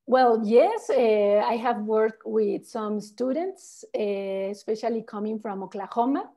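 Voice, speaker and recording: A woman's voice: fairly high pitch, unhurried, mellow. A woman from Mexico with a Mexican accent, a local tour guide answering questions on a virtual tour over a video call, explaining things in a friendly way and often tagging her sentences with "no?". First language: Spanish. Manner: friendly, explanatory, tags phrases with "no?".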